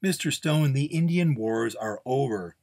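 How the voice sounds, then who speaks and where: A man's voice: medium pitch, expressive, a little slow, darkish tone, pitched above the performer's own voice. A man voicing a polite, timid go-between in a Western story, formal, careful and placating.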